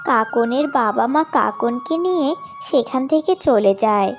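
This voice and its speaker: A woman's voice: on the high side, unhurried. A woman delivering scripted narration for a cartoon story. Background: background music.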